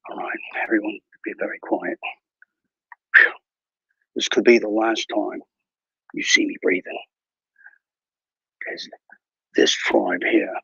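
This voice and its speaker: Guy doing a fake aussie ranger, boastful and bumbling, whispery gravelly voice, kinda dull lo-fi sound. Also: lowish voice, no room sound, heavily acted. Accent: mock Australian. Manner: hushed, mock-serious whispering narration. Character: bumbling boastful male wilderness ranger, adult. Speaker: mock wildlife show host. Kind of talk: improvised parody nature documentary.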